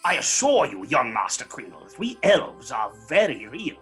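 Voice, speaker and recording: An actor playing an earnest, kindly Christmas elf in an audio drama trailer. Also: male voice, medium pitch, huge pitch swings, normal speed, a bit bright, soft background music. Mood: earnest, reassuring.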